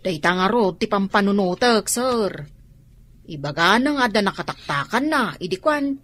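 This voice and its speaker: A woman's voice: mid-range voice, expressive, moderate pace, fairly bright tone. A woman playing a respectful young housemaid. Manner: respectful, says sir. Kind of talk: Ilocano radio drama acting.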